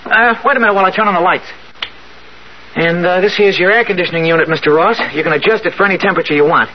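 An actor playing a polite, eager nineteen-year-old hotel bellhop in a 1970s radio drama, deferential and a little hesitant. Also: male voice, high voice, very fast, close to an everyday voice. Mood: helpful, concerned. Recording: muffled, hissy 1970s radio recording.

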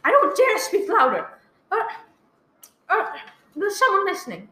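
A woman's voice: very high voice, wildly swooping pitch, measured pace, bright, forceful tone, exaggerated voice. A woman acting a panicked invalid wife alone in her house in a radio play, stammering and pleading frantically. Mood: desperate, frightened. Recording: roomy, echoey, faintly noisy live recording.

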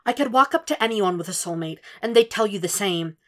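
A woman's voice: lively intonation, fairly quick, lightly acted. A woman voicing a distraught young woman who argues on the phone and pleads for reassurance. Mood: pleading. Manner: pleading, high-strung, shouting in anger.

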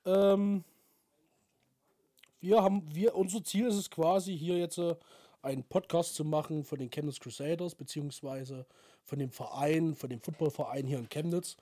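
A man in his twenties co-hosting a casual podcast, halting and searching for words. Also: a little high, fairly even intonation, mellow, no echo, clean studio sound. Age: 20s. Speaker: podcast co-host and football coach.

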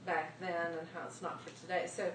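A woman's voice: lowish voice, little pitch movement, fairly quick. A woman in a Bible study group giving her frank view in casual discussion. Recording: echoey room, heard from a distance with background noise.

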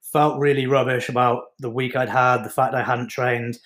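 A man's voice: medium pitch, level tone, normal speed, a bit bright. A man, a fitness coach giving an earnest, encouraging talk on mindset. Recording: echoey room.

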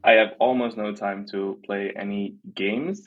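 A man's voice: lowish voice, fairly even intonation, measured pace, dull audio. A man in his twenties from the Netherlands, chatting casually as a podcast guest in a self-deprecating, jokey way. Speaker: interview guest, art platform team member.

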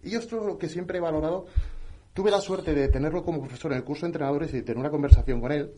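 A man talks basketball with passion in a relaxed chat. His voice sits a bit high for a man. He gets animated and stumbles over repeated words as he builds his points. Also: very fast. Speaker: basketball podcast co-host. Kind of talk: casual chat.

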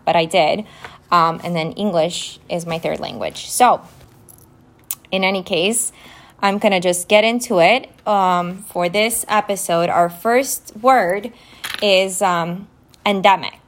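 A woman whose first language is Romanian, hosting a podcast and going slowly through vocabulary words, spelling each one letter by letter. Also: lowish voice, a little hiss or hum. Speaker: podcast host. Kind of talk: vocabulary lesson, casual spelling and definitions. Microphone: phone app recording.

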